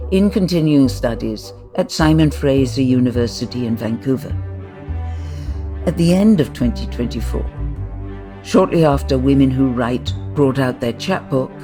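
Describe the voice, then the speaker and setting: A woman presents a scripted radio programme in a measured manner. Her voice is dark and mellow and very slow, with faint music playing behind her. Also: rumbling low. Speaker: host, writing course facilitator. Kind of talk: scripted radio introduction and narration.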